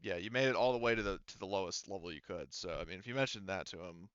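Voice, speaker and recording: A man acting as game master, improvising narration for a tabletop roleplaying game with halting, hesitant phrasing. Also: sits low, fairly bright tone, clear, resonant tone, no echo, lo-fi sound.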